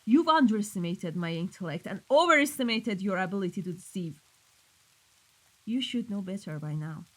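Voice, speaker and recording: Menacing female crime boss, played by a woman, mid-pitched, stern and commanding, for an audio roleplay. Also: expressive, normal speed, a bit bright, poor audio. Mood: scornful. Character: cold, menacing female mafia boss dealing in weapons. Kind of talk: scripted audio roleplay drama.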